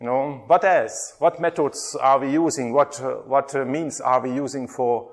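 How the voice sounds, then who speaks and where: A man's voice: mid-range voice, fairly even intonation, normal speed. A middle-aged man with a Hungarian accent giving a workshop talk, hesitant and chatty, putting questions to the audience. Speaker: workshop presenter, theology lecturer.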